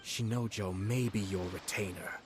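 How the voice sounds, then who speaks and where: Low-voiced, composed noble played by a man. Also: fairly even intonation, background noise, lightly acted. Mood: coolly stern. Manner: measured, formal, polite with veiled mockery. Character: composed noble young clan commissioner, sly and courteous. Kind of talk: video game voice acting.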